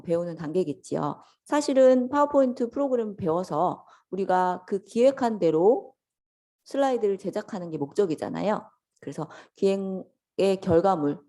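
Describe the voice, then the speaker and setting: A woman lecturer with a voice hoarse from a cold, politely explaining things step by step to students in a conversational lecture style. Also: mid-range voice, dark tone. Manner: polite and instructional, addressing students directly. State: hoarse from a lingering sore-throat cold. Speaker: lecturer teaching an office software course.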